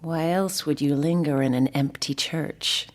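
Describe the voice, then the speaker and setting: An actress playing a gentle, devout wedding singer in an audio drama, earnest and considered in her speech. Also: low voice, fairly even intonation, unhurried. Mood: earnest, reverent. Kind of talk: naturalistic audio drama acting. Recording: reverberant hall-like church acoustic.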